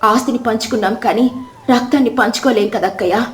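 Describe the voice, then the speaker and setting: A woman narrator plays a poor village sister-in-law in a storybook tale. Her voice is fairly high, grave and admonishing. There is a roomy echo on the recording. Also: a little fast, soft background music, close to an everyday voice. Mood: grave reproach. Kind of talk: dramatic storybook character reading.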